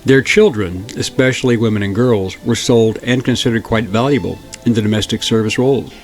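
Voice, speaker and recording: A man narrating a historical documentary in a measured, steady reading. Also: fairly low pitch. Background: faint background music.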